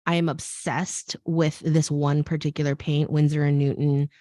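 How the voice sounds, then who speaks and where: A woman's voice: deep voice, little pitch movement, moderate pace, mellow, no room sound, clean studio sound. A woman with an American accent whose first language is Korean, hosting a casual fashion interview and slipping into teacherly asides. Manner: chatty, laughing, teacherly asides. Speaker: host and fashion design teacher. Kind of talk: casual video call interview.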